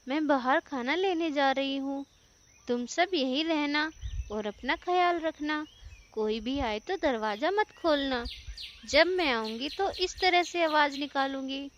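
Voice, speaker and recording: A woman voicing a gentle, worried mother bird in a children's cartoon, speaking tenderly and earnestly. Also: high-pitched, slight background noise. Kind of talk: children's cartoon story acting.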